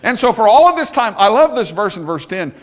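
A man preaching a sermon, swinging from loud emphatic peaks to quiet low asides. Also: big pitch swings, fairly quick.

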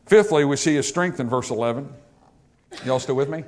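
A man preaching a sermon, swinging from loud emphatic peaks to quiet low asides. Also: fairly quick.

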